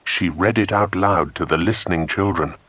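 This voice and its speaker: A man's voice: low voice, normal speed, no room sound. A man narrating a children's adventure audiobook expressively, close on a studio microphone. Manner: expressive storytelling. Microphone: close, dry studio microphone.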